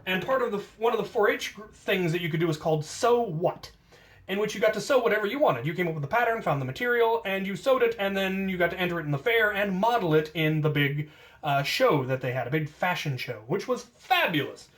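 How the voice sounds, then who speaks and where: American guy, kinda high voice, casually telling stories about himself, stretches words for emphasis. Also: lively intonation, fairly quick, a bit bright. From United States. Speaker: storyteller, host of a hobby channel. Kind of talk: casual autobiographical storytelling.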